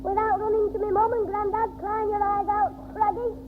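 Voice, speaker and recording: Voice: very high voice, level tone, normal speed. An actor playing a cheeky, scheming schoolboy with a Northern English accent in a 1960s radio sitcom. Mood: smug. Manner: cheeky, wisecracking. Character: cheeky, scheming schoolboy, always sharp-tongued. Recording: noisy, narrow-band old 1960s radio broadcast.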